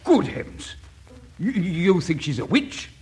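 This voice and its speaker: Indignant, high-voiced family man. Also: sing-song, measured pace, a little mellow, slight background noise, exaggerated voice. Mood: indignant, sceptical. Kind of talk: radio comedy acting.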